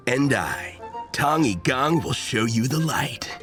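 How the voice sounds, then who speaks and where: A man's voice: medium pitch, expressive, takes it slowly. A man playing a bombastic, zealous young fanatic in a video game, declaiming in a pompous, grandiose way. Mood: solemn, grandiose. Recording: faint music behind the voice.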